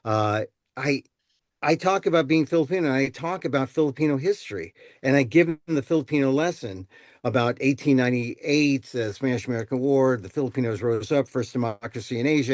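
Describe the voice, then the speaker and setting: A man's voice: medium pitch, brisk, darkish tone, no room sound, clean studio sound. A man who performs comedy and storytelling, talking casually in a panel discussion.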